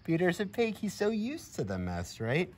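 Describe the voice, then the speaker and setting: A middle-aged man reads a picture book aloud to children in an expressive, encouraging way, with friendly asides to his listeners. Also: high voice, normal speed, a little hiss or hum. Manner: expressive, encouraging, addresses children and animal directly. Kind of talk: animated storybook reading with friendly asides to young listeners. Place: outdoors in a grassy farmyard by a barn. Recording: clear outdoor background ambience.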